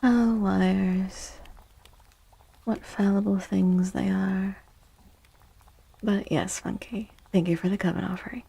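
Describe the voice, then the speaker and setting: Woman, soft-spoken ASMR streamer, slow pace, mellow tone, chatty, close-miked. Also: mid-range voice, steady tone, vocal fry, husky. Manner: chatty, unhurried, greets viewers by name. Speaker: live streamer hosting ASMR tarot stream.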